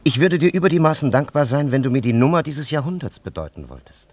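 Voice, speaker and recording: An actor playing a solemn, condescending elderly scholar from antiquity in a radio play, with archaic and over-courteous diction. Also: male voice, medium pitch, sing-song, quick pace, no echo. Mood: courteous, inquiring. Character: solemn, condescending ancient scholar and time traveller, elderly man.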